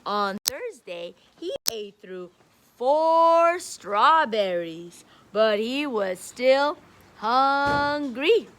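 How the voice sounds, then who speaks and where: Female early-years teacher, high-pitched and unhurried, sing-song delivery for a children's read-aloud and counting lesson. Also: expressive, fairly bright tone, slight background noise, poor audio.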